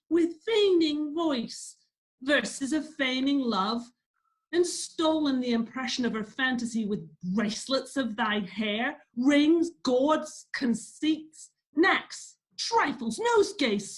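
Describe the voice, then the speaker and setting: Woman playing a slow, indignant Shakespearean father. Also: on the high side, poor audio. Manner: declamatory, emphatic listing. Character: stern, indignant old father demanding obedience. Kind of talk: Shakespearean verse table read. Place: remote video call reading. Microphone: video call.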